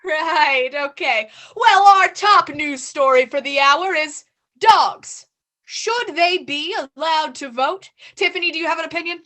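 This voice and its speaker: A woman performer on an improv stage, playing a chirpy anchor. Her voice is high and bright. She keeps the delivery bubbly and playful. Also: unhurried. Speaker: improv performer and show president. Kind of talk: improv comedy scene.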